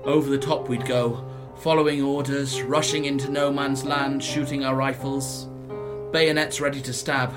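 A man's voice: mid-range voice, little pitch movement, moderate pace, music in the background, close to an everyday voice. A man playing a haunted young soldier narrating his war in a radio play monologue. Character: haunted young soldier recalling trench warfare. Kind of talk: dramatic first-person radio monologue.

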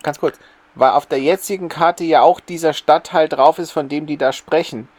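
Higher-voiced man, close dry sound. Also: steady tone, moderate pace, dry recording. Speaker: podcast host. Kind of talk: casual discussion of an audio drama episode.

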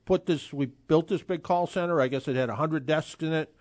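Man, sixties, car radio host, rambling casual talk, slightly high voice, dry close sound. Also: brisk, a little mellow, dry recording. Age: 60s. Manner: chatty, rambling with frequent restarts.

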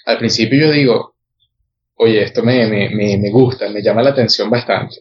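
A man with a Venezuelan accent, a guest photographer telling his story in a relaxed way, with many hesitations and repeated words. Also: on the low side, normal speed, highs cut off. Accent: Venezuelan Spanish. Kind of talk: casual interview chat.

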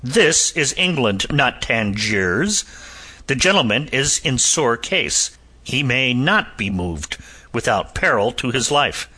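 A calm, sardonic physician answers with measured, cutting wit, as a male narrator puts him on. His lilt sits lightly on a bright, clear voice. He speaks slowly and keeps his composure. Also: medium pitch, full character voice. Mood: indignant. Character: cool, sardonic Irish physician and ex-soldier, adult man.

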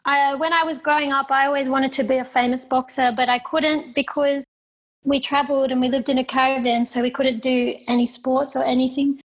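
A young Australian woman talking about her life in plain, halting answers as an interview guest. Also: high-pitched, flat delivery. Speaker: guest, professional fighter.

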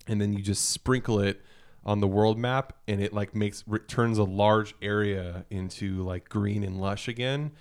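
Smooth, low-voiced man chatting about games. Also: steady tone, unhurried. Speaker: podcast panelist. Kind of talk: casual chat about video games.

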